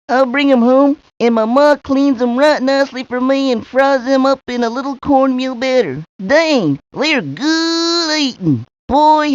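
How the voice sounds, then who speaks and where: A woman's voice: sits high, no echo, close to an everyday voice. A woman voicing a folksy, drawling country boy with a Southern US accent for a cartoon video game. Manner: drawling, folksy backwoods talk. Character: folksy country boy who loves fishing and eating. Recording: dull lo-fi 1990s game audio.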